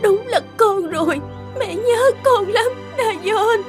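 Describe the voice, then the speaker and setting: High-voiced grieving cartoon mother. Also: takes it slowly. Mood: tearful, overwhelmed. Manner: plaintive, emotional. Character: grieving, guilt-ridden young mother, fragile and tearful. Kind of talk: melodramatic cartoon drama acting. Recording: studio voice under loud music.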